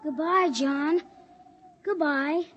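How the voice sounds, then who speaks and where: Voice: high-pitched, very slow, lo-fi sound. A performer playing an earnest, stubborn young boy in a 1950s radio drama, plaintive and pleading. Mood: anxious, hopeful. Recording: old 1950s radio recording.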